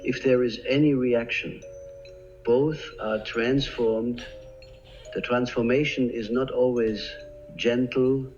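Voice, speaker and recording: A man narrates in a slow, grave, deliberate cadence, letting long pauses hang between phrases. His voice sits in a middle register and sounds a little dull and lo-fi. Soft music runs quietly underneath him. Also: mid-range voice, fairly even intonation. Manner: slow, solemn, measured pauses. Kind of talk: scripted narration. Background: faint background music.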